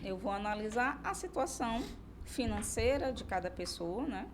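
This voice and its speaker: Higher-voiced Brazilian woman explaining informally. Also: lively intonation, moderate pace, noisy background. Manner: explains through hypothetical examples and imagined client dialogue. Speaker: lawyer, expert guest. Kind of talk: informal explanatory podcast discussion.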